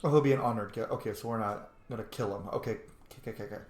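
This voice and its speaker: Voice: medium pitch, racing, a little mellow. A gamer giving casual, playful playthrough commentary, chatty and full of interjections. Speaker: gaming streamer. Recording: roomy, faint game ambience. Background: faint video game ambience.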